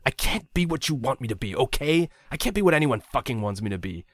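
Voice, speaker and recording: A man's voice: medium pitch, big pitch swings, fairly quick, husky, no echo, pitched above the performer's own voice. A man reading a story aloud, voicing a frightened, angry young man who pleads, accuses and swears often. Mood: panicked, furious.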